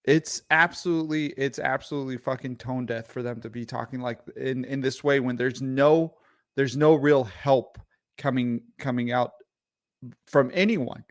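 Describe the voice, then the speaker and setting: A man's voice: mid-range voice, normal speed, dry recording, studio quality. A man whose first language is Arabic, co-hosting a casual livestream, heated and profane, often repeating words as he rants. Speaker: podcast co-host.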